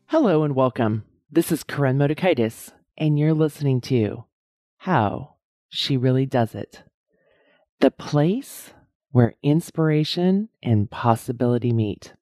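A middle-aged woman hosting her own coaching podcast, her voice hoarse and strained, talking earnestly and repeating key points slowly. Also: a bass voice, animated, dark tone, no room sound, studio quality. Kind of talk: casual solo podcast talk.

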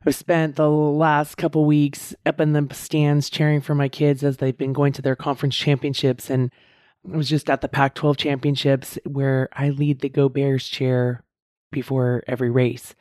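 Hoarse woman podcast host. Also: rumbling low, flat delivery, a little mellow, no echo, clean studio sound. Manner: earnest, encouraging, repeats key points slowly. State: hoarse, strained voice. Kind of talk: casual solo podcast talk.

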